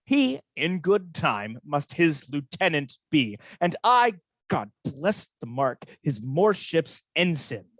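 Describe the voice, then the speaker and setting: A man's voice: a little high, very animated, slow, fairly bright tone, dry recording, exaggerated voice. A man playing a bitter, scheming military ensign in a Shakespeare radio play, theatrical and sardonic. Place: recorded at home over a video call.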